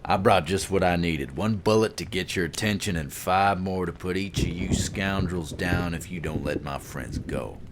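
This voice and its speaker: Brash gunslinger with a drawl, voiced by a man; low and cocky. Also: moderate pace, few pauses, noisy background, natural voice. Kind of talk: audio drama acting.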